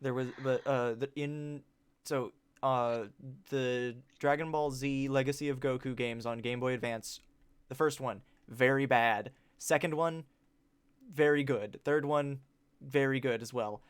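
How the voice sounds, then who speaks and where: A man's voice: mid-range voice, fairly even intonation, measured pace, clear, resonant tone. A man chatting casually as he streams a game, joking and reading game text aloud. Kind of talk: casual livestream chat and game commentary.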